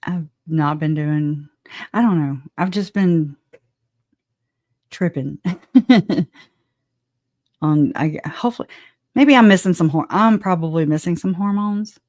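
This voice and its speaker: A woman's voice: on the low side, slow, long pauses, darkish tone, no echo. A woman hosting a livestream, chatting casually and rambling, with frequent restarts and laughter. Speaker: livestream host.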